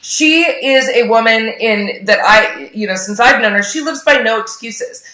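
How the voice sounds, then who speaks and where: A woman's voice: on the high side, measured pace, sharp tone, reverberant, rough recording. A woman hosting a live show in an enthusiastic, informal and emphatic way, gushing as she talks. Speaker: host.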